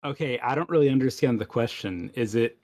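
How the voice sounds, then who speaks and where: A man's voice: mid-range voice, brisk, darkish tone, no room sound, studio quality. A man chatting casually as a podcast guest, sounding hesitant and unsure. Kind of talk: casual chat.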